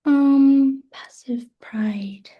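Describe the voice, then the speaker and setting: A woman's voice: sits high, extremely slow, lo-fi sound. A young woman from the United States answering panel questions on a video call, hesitant, with many fillers and restarts. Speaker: student presenter. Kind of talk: online panel Q&A.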